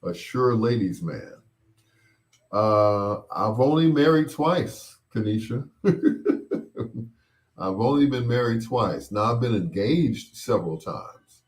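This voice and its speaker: A middle-aged man hosting a casual show, chatting and reminiscing in a rambling way, often pausing and repeating himself as he replies to listeners. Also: fairly low pitch, expressive. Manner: unhurried, rambling, with pauses and repeats. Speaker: host of a bedtime stories show. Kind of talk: casual chat, reminiscing and replying to listener comments.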